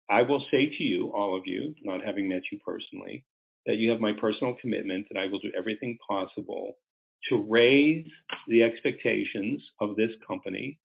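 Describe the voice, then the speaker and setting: A middle-aged or older man, a company executive, speaking earnestly and deliberately to investors on a conference call, formal but halting, often repeating words. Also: lowish voice, creaky. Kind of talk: semi-scripted remarks to investors on a conference call. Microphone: conference call line. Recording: dull, lo-fi conference call audio.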